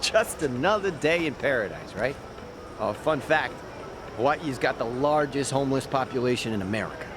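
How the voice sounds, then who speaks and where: A man's voice: mid-range voice, lively intonation, normal speed, background noise, natural voice. An actor playing a cynical, wry, streetwise local man in an English-dubbed video game, chatty and given to sardonic explanations.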